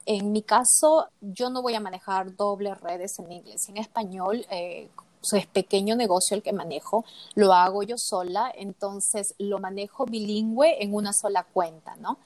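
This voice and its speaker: Woman guest, Latin American accent, mid pitch, thoughtful, hesitant fillers, dry close sound. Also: moderate pace, darkish tone, dry recording. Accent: Latin American Spanish. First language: Spanish. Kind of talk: casual interview chat.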